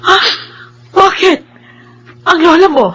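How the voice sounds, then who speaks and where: A woman's voice: very high-pitched, takes it slowly, pushed and bright, full character voice, poor audio. A woman acting a caring middle-aged mother in a Filipino radio drama, with a motherly, emotional delivery. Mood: alarmed.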